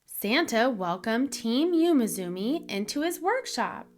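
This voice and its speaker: A woman reading a children's story aloud in an expressive, deliberate storytelling manner for young listeners. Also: fairly high pitch. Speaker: children's book reader, channel host.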